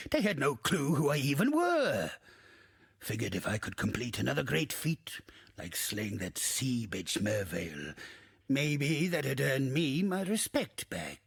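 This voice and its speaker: An actor playing a gruff, salty old undead pirate captain in a video game, swaggering and theatrical, with crude archaic sailor talk and a husky, gravelly voice. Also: male voice, medium pitch, dry recording, clean studio sound, full character voice. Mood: rueful, bitter. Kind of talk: video game character acting.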